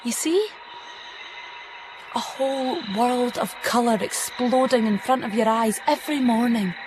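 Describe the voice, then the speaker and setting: Radio drama: otherworldly young daughter, played by a woman, poetic, quietly confident, higher-pitched, clear background noise. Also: unhurried, lightly acted. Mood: proud wonder. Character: otherworldly daughter, eerily assured, luring her mother away.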